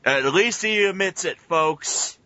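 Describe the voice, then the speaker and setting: A man co-hosting a casual podcast with teasing, profane banter and halting, informal review talk. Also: high voice, lively intonation, pushed and bright. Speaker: podcast host. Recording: muffled lo-fi internet call recording.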